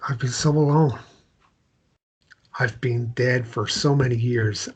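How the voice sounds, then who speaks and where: A man acting a guilt-wracked, volatile grieving widower at a table read, halting and repeating his phrases. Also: mid-range voice, husky. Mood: lonely, tender. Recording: dull lo-fi sound.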